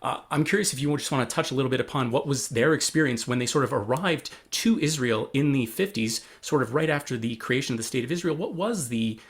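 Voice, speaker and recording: A man's voice: medium pitch, animated, fast, hardly any pauses. A man co-hosting a podcast, chatting casually and wordily, repeating phrases as he goes. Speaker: podcast co-host. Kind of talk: casual conversation.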